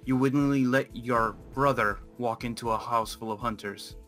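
A man's voice: mid-range voice, fairly even intonation, normal speed, fairly bright tone, faint music behind, lightly acted. A man acting an insistent, defensive werewolf pack leader in an amateur game roleplay. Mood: accusing, insistent.

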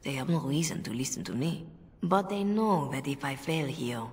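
Low-voiced revered mother, composed. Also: lively intonation, darkish tone. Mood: calm, formal. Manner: measured, solemn. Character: composed, earnest Orlesian revered mother, gently persuasive. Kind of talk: video game cutscene acting. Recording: long reverberant hall echo.

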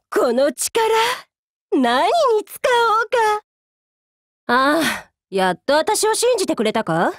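High, cruel, taunting android villainess. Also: female voice, no room sound, studio quality, exaggerated voice. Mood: gloating. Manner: taunting, rough-spoken, drawling menace. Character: cruel, sadistic female android commander, arrogant and mocking. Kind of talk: anime game character voice lines.

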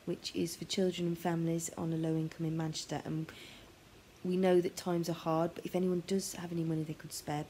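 A woman's voice: lowish voice, warm tone. A middle-aged woman talking casually to camera at home, on a noisy home recording. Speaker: guest story reader introducing a charity appeal. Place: living room at home.

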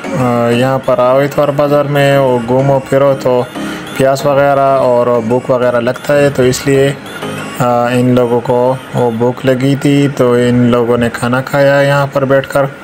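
A young man from Pakistan narrating a casual vlog at an outdoor market, talking in a halting way with many fillers and pauses. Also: medium pitch, level tone. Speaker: vlogger. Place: outdoor market, then indoors at end. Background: background music.

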